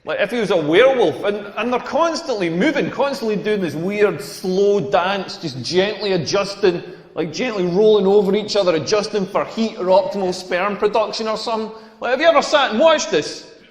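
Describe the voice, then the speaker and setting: A man delivers a crude stand-up routine in a high-pitched voice that rings around a reverberant hall. He rambles from phrase to phrase, repeating himself for comic effect. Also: animated, moderate pace. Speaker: stand-up comedian. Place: live comedy venue. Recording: echoey hall with long reverb.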